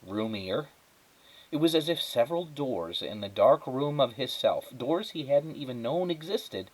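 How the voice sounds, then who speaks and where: A man reading a children's story aloud as a teacher, in expressive narration. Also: mid-range voice, rough recording.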